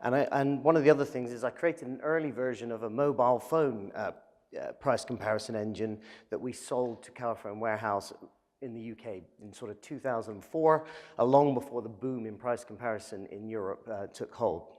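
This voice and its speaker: A man's voice: medium pitch, a little fast, a little mellow, in a large hall. A man with an English accent presenting his startup at a live event, talking conversationally with many fillers. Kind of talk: business pitch presentation.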